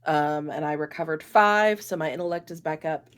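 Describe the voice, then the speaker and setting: Polite woman player, lowish voice, casual talk during a tabletop game. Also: moderate pace. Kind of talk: casual chat.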